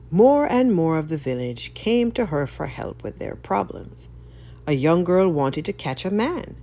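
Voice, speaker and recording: A woman reading a folk tale aloud in an expressive, dramatic storytelling style. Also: low-pitched. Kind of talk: expressive scripted story narration.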